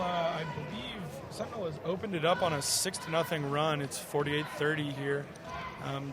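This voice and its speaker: A young American man giving color commentary on a live basketball game. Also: a little high. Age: young adult. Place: indoor high school gym, courtside. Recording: loud gym crowd behind. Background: crowd, bouncing basketballs, buzzer.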